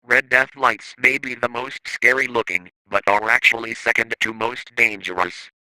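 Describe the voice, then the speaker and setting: Male narrator for analog horror informational piece: formal, instructional, sharply bright tone, dry close sound. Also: medium pitch, steady tone, normal speed, dry recording, rough recording.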